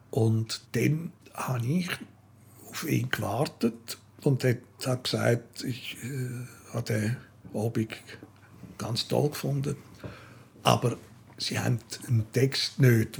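An older man with a Swiss German accent, talking haltingly as he searches for words in an interview. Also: medium pitch, creaky, breathy edge. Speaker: writer being interviewed.

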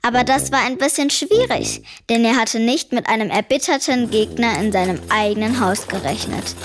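Woman as a high-voiced, dry, defiant young girl. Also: moderate pace, music in the background, lightly acted. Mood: wry, dry. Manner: dry, wry, precociously wordy. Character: defiant, clever ten-year-old girl narrating her family's story. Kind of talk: German children's radio play narration and dialogue.

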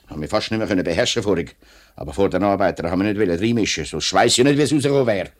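A man's voice: sits low, rapid-fire. An actor playing an upright, plain-spoken middle-aged union man with a Swiss German accent in a 1950s radio play. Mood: indignant. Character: upright, outspoken workman and union man, family father.

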